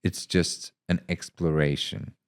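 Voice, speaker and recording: A man narrating and reflecting on his own writing in an unhurried, thoughtful way. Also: deep voice, level tone, clean tone. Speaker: author reading own short story. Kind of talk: scripted narration and casual reflective talk.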